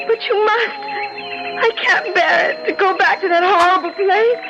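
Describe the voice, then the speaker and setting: A woman's voice: extremely high pitch, a little slow. An actress playing a distraught young woman who pleads melodramatically in an old-time radio drama. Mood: pleading, panicked. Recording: muffled old radio recording, loud music.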